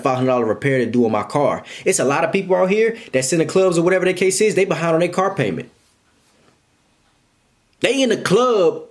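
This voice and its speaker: A man in his twenties giving blunt, repetitive life advice straight to his viewers in a casual monologue. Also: sits high. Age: 20s.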